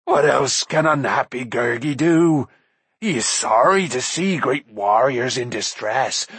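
A man voicing a groveling, whimpering shaggy male forest creature with a high, slow, whining voice. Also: animated, fairly bright tone, husky, no room sound, full character voice. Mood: groveling whine.

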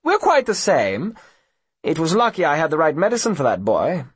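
A man's voice: on the high side, wildly swooping pitch, moderate pace, breathy edge, no echo, voice pushed up, exaggerated voice. A man voicing a smug, villainous profiteer with a mocking, pompous and falsely genteel manner. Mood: oily menace. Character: sneering villainous profiteer, smug, mock-genteel older gentleman.

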